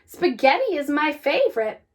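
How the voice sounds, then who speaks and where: Casting: woman as cheeky storybook boy, high voice, matter-of-fact lines, lively intonation. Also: moderate pace. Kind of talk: children's storybook read-aloud.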